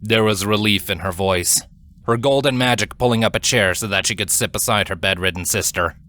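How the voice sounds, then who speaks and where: A man narrates dramatic fantasy fiction in a low voice with a bright, forceful edge. His reading is solemn and deliberate, shaping each sentence expressively like an audiobook performer. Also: a little hiss or hum. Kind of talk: scripted dramatic story narration.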